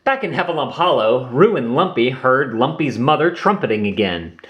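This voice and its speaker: A man reading a children's storybook aloud in an expressive, unhurried way. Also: medium pitch. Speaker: storybook reader.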